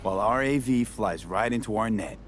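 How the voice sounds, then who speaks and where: A man's voice: lowish voice, lively intonation, normal speed, faint music behind, natural voice. An actor playing a laconic male mercenary in a video game, dry, clipped and wry. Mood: wry, attentive. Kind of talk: video game dialogue acting. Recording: vehicle and outdoor noise behind.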